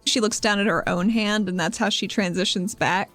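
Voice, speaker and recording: A woman's voice: medium pitch, moderate pace, fairly bright tone, faint music behind. A woman playing in a tabletop roleplaying game, describing what her character does in a playful, conversational way. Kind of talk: casual roleplay, describing own character's actions.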